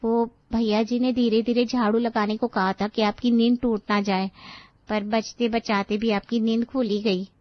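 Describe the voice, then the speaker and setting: A woman's voice: fairly high pitch, little pitch movement, normal speed, dry recording, lo-fi sound, natural voice. A woman voicing a dutiful, respectful housemaid in a storybook tale, meek and deferential. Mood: apologetic.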